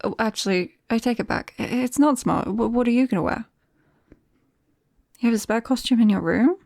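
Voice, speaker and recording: A British woman playing a shy, nervous vampire best friend in an ASMR roleplay, speaking softly and haltingly and often slipping into a whisper. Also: a little high, dry recording, clean studio sound. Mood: hesitant, relenting. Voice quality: soft, breathy, often dropping to a whisper. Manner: hesitant, bashful, halting.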